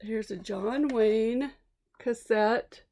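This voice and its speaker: A woman's voice: on the high side, drawn-out words, a little mellow. A woman teacher casually explaining things to young children, chatty and asking simple questions. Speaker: read-aloud teacher.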